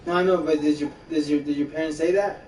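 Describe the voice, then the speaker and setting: A man's voice: on the high side, fairly even intonation, quick pace, darkish tone, reverberant, recorded from a distance, slight background noise, poor audio. A man streaming a game, giving repetitive mentor-like advice in casual chat and calling the listener bro and buddy. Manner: talks like an older mentor, repeats himself, calls the listener bro and buddy.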